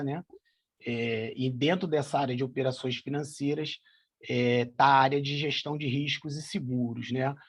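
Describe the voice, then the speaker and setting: Middle-aged carioca guy, husky gravelly voice, lots of uh's as he answers questions. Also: mid-range voice. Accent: Carioca (Rio de Janeiro). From São Paulo, Brazil. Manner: hesitant, many fillers. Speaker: guest, association president. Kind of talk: conversational interview answers.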